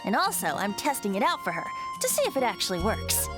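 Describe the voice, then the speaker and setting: Playful young male bard, high bright voice, lively lilt, teasing, game music behind. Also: quick pace. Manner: airy, teasing, laughs often. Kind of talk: video game voice acting.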